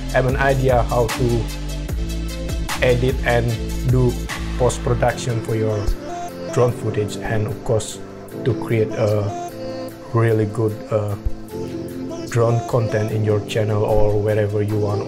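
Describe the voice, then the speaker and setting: A young man whose first language is Indonesian, talking through his editing in a casual, halting home tutorial, often correcting himself. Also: fairly low pitch, monotone, loud music behind the voice. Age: young adult. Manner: halting, self-correcting, apologetic. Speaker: drone video creator. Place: small room at home, washing machine running.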